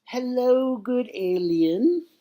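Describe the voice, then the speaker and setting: A man's voice: very high-pitched, expressive, extremely slow, warm tone, voice pushed up, full character voice. A man playing an overdramatic, falsely friendly starship captain in a comic sci-fi monologue, with exaggerated delivery. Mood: patronizingly friendly. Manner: exaggerated, overacted.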